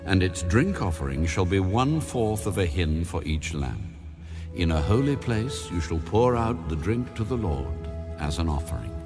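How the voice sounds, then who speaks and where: A man narrating scripture as a formal scripted reading, measured and solemn. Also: low voice. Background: background music.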